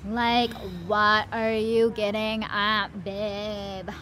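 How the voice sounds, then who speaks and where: A woman's voice: mid-range voice, completely flat delivery, extremely slow, fairly bright tone. A woman playing a brash, flirtatious, pushy street-interview host in an audio drama. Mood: teasing. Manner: pushy, teasing, drawling. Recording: clearly noisy street ambience.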